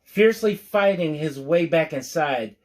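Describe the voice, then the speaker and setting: A male storyteller with a fairly high-set voice reads at a very leisurely pace. He delivers rhymes expressively and drops in casual, folksy asides to his listeners. The manner is playful throughout. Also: expressive, a little slow. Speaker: storyteller reading a picture book aloud.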